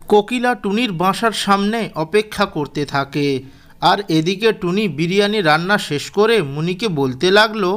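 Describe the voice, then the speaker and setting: A man's voice: sits high, animated, moderate pace, a bit bright. A man narrating a scripted children's cartoon story as its storyteller.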